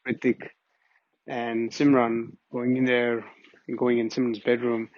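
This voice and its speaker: Middle-aged man, husky and earnest, recalling fondly; dry, dull-sounding recording. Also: medium pitch, darkish tone, no echo. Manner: earnest, reminiscing, with frequent restarts. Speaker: family friend giving a memorial tribute. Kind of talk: personal spoken tribute. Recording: dull, muffled audio.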